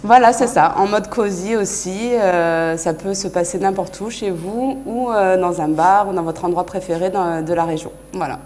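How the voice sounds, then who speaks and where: A young woman presenting a student TV show and interviewing a guest, speaking in a relaxed, friendly way with frequent hesitations. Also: lowish voice, moderate pace, hardly any pauses, a little hiss or hum. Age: young adult. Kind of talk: interview and live studio presentation.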